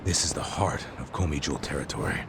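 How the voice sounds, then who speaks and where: A scruffy middle-aged know-it-all in a game dub. His low voice is full of gravel and rises and falls in an animated way. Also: quick pace, noisy background, exaggerated voice. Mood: easygoing. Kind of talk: English video game dub acting.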